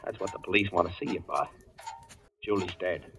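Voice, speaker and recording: An actor playing a gruff, slangy male dance hall boss who speaks bluntly in a 1940s radio thriller. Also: low-pitched, brisk, fairly bright tone, slight background noise. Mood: grave, subdued. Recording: dull old radio recording, music behind.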